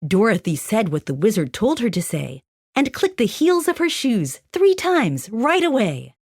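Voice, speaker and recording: A woman narrating a scripted rhyming children's story in an expressive, sing-song manner. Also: a little high, a little slow, breathy edge, no echo, studio quality.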